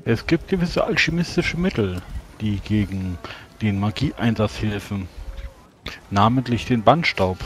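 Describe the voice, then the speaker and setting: A man's voice: fairly low pitch, expressive, unhurried. A man role-playing at a tabletop game, talking in a formal, scholarly manner. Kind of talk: in-character talk in a fantasy tabletop game.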